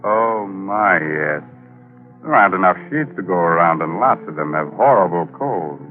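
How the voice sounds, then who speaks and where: An actor playing a ghoulish, jokey horror show host with a theatrical, mock-friendly manner in 1940s radio style. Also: male voice, sits low, animated, moderate pace. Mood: macabre glee. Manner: mock-friendly, theatrical, chuckling gallows humor. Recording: muffled narrow-band 1940s radio, music behind.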